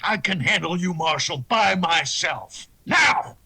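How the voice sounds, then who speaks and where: A man playing an impatient, cocky henchman gunslinger in a western radio drama, with a gravelly voice. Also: sits high, lively intonation, slow pace, noisy background, heavily acted. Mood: fed up, aggressive.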